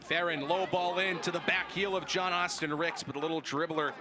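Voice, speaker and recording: A man's voice: a little high, steady tone, a little fast. A man in his early twenties announcing live sports with a polished, emphatic broadcast delivery. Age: early 20s. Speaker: sports play-by-play announcer and host. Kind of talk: live sports play-by-play and show openings.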